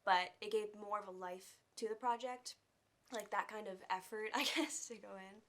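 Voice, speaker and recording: Young adult woman, soft-spoken, candid interview guest, casual phrasing. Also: medium pitch, steady tone, normal speed. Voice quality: soft, quiet voice. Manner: open, candid, uses fillers like "like" and "um". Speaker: actress, guest.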